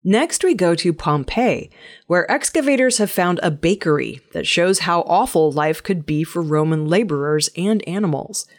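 A woman news presenter reading scripted news stories in a measured, clearly enunciated way. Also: on the low side, lively intonation.

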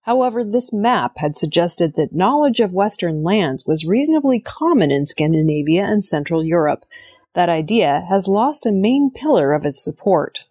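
A woman presenting scripted news in a measured, formal read delivery. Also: fairly low pitch, sing-song, dark tone, slightly rough.

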